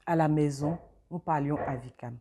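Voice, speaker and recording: Mellow-voiced woman, calm and reflective. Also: low voice, moderate pace, slight background noise. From Côte d'Ivoire. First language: Avikam. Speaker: interviewee, mother. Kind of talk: interview testimony.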